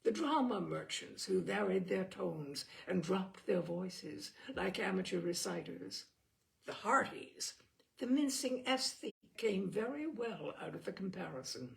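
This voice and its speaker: A woman narrates a novel in a voice of middling pitch with noticeable creak. Her reading is scripted and expressive, in the manner of an audiobook storyteller, with a small stumble here and there. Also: measured pace. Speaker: audiobook reader.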